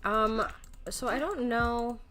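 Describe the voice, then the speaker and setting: A woman's voice: fairly high pitch, slow pace, a bit bright. A woman doing casual live video game commentary, chatty and self-mocking as she thinks aloud.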